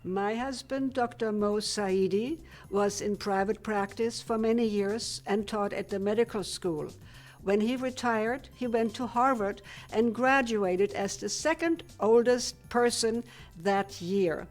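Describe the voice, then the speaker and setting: An older woman from Germany, a retired physicist, answering questions in a studio TV interview in a measured, plain-spoken way. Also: medium pitch, soft background music. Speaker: guest, retired physicist turned painter.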